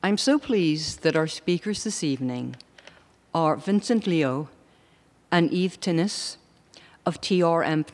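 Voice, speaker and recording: A host giving a prepared, formal introduction of the evening's speakers. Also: medium pitch. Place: lecture hall. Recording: long reverb of a lecture hall.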